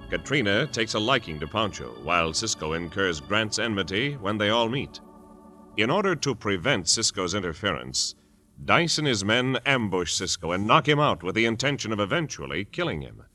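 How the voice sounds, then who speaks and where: A man's voice: fairly low pitch, sing-song, moderate pace, bright, forceful tone. A man narrating a 1950s radio drama as announcer, in a dramatic, emphatic style. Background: organ music.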